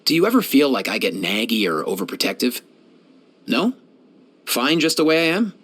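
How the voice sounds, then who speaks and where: A man's voice: medium pitch, very animated, normal speed, fairly bright tone. A man voicing a young, laid-back and caring animal doctor for a fantasy video game, speaking in a friendly, casual way. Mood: earnest, gentle. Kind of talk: scripted video game character voice lines.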